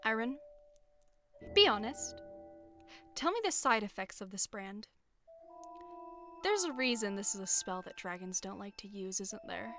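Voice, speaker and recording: A woman's voice: mid-range voice, lively intonation, measured pace, pauses often, pushed and bright. A woman playing a blunt, self-doubting female warrior in a scripted audio roleplay, informal and questioning. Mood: bitter, challenging. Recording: music behind the voice. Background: background music.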